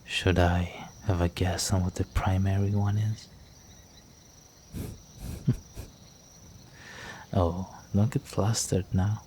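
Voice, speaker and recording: A man plays an ancient, flirtatious vampire noble in an intimate fantasy audio roleplay. His low voice moves slowly and stays very even, courting the listener with formal, teasing seduction and quiet menace. Music plays behind him. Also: a little hiss or hum. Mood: seductive, teasing.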